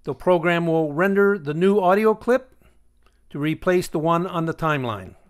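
Higher-pitched man narrating a software tutorial. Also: animated, normal speed, smooth. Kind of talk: instructional screencast narration.

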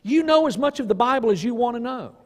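A man preaching a sermon in an emphatic, folksy way, pausing for weight and asking rhetorical questions. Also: very high-pitched, expressive. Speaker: pastor. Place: church sanctuary stage at a lectern. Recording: long reverb of a church hall.

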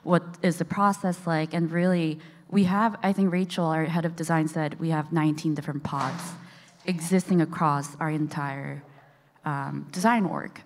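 A woman with a notably low voice whose first language is Korean speaks on a conference stage. She talks in a thoughtful, measured way with small fillers. Her voice rings out with the long reverb of a large hall. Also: steady tone. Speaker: product designer on a panel. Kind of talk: conference panel talk.

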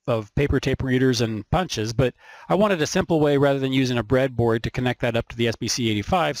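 A man's voice: medium pitch, no room sound. Man casually explaining, close dry sound. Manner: unscripted, halting, thinking aloud. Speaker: electronics hobbyist presenter. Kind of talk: informal show-and-tell explanation of circuit boards.

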